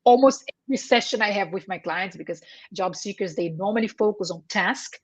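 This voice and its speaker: A woman's voice: medium pitch, fairly quick, slightly rough, no room sound. A woman whose first language is Portuguese, a career coach giving earnest advice as an interview guest, often checking in with tag questions and correcting herself.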